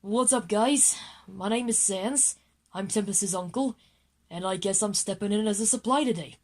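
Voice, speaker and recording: A woman's voice: mid-range voice, moderate pace, darkish tone, close to an everyday voice. A woman voicing a weary, casual uncle working as a substitute teacher in an audio drama. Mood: laid-back. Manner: casual, laid-back. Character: laid-back, weary uncle standing in as teacher. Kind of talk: scripted audio drama dialogue.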